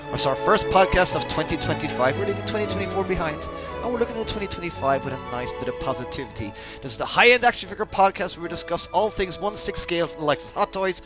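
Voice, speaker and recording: A man hosting a casual podcast, chatty and rambling with many restarts. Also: high-pitched. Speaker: podcast host.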